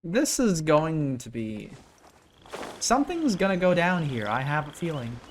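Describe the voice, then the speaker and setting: A mid-pitched gaming creator reacts casually, thinking aloud and asking themselves questions. Faint noise sits behind the voice. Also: very animated, unhurried. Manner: musing aloud, self-questioning. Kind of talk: casual game reaction commentary.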